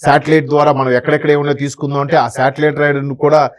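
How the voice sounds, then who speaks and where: A man's voice: fairly high pitch, little pitch movement, racing. A man speaking as a news analyst in an interview, emphatic and colloquial, with sayings and rhetorical questions. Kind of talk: conversational news commentary in an interview.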